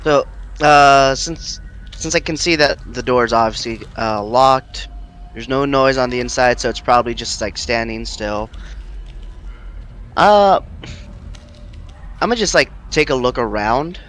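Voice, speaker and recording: Clear-voiced man playing a tabletop game. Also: mid-range voice, a bit bright. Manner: halting, informal. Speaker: player. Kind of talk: casual tabletop role-play chat.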